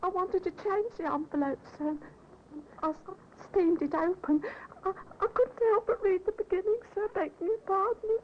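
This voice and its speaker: An actress playing a timid, deferential housemaid, pleading and anxious, in a 1950s radio drama. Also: female voice, very high-pitched, moderate pace. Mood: nervous, pleading. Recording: muffled, noisy 1950s radio recording.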